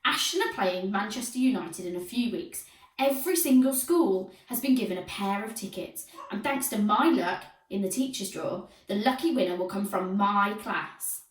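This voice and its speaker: A woman's voice: medium pitch, animated, moderate pace, lightly acted. A scowling battleaxe of a schoolteacher, acted out by a woman storyteller. She snaps and bosses her pupils with a swooping delivery, recorded at some distance in a roomy space. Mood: firm, authoritative. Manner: snapping, bossy, bellowing at pupils. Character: stern, snappish schoolteacher, a fearsome scowling battleaxe.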